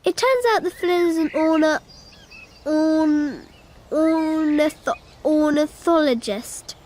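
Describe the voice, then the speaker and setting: High-voiced, earnest little girl. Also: darkish tone. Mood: earnest wonder. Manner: childlike, earnest, halting over long words. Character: cheerful, literal-minded six-year-old girl narrating. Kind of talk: radio drama narration.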